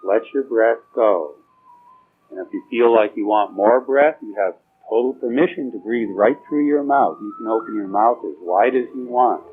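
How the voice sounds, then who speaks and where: A man leading a guided meditation, giving slow spoken instructions in a soothing, permissive and informal way, with pauses. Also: lowish voice. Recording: dull, muffled lo-fi recording with music.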